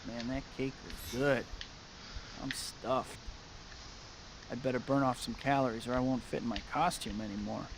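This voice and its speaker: A man's voice: mid-range voice, steady tone, moderate pace, creaky, pauses often, background noise, lightly acted. A man voicing a young, earnest and slightly awkward superhero in a video game. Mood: friendly. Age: young adult.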